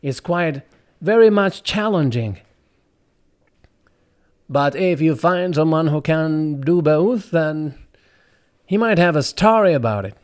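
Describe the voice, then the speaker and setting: Slightly high-voiced man explaining. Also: animated, slow pace, pauses often. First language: Arabic. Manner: earnest and explanatory, with frequent restarts and asides. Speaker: voiceover actor. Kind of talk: casual talk to camera about his craft.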